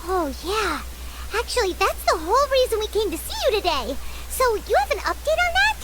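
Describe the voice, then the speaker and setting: A tiny, squeaky game fairy speaks in an extremely high, swooping childlike voice. She is excitable, protective and quick to indignation, with loud noise over the recording. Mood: curious. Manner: speaks of herself in third person, indignant, exclamatory. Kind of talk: video game character acting.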